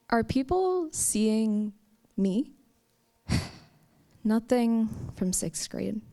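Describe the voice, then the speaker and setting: A woman's voice: mid-range voice, darkish tone. Young woman telling a story onstage. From United States. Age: young adult. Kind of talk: live personal storytelling. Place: stage at a campus story event.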